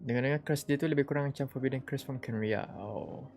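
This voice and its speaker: A man's voice: mid-range voice, quick pace, darkish tone. A man with a Malaysian accent giving casual, informal live reactions as a game streamer, mixing languages. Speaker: game livestreamer. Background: game music.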